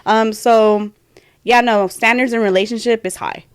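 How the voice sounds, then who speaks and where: A woman in her thirties co-hosting a casual podcast. She talks candidly in a rambling, loose way, with a slightly high voice. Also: fairly even intonation, normal speed, clean tone. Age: 30s. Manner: candid, rambling, laughs often. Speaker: podcast co-host. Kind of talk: casual chat.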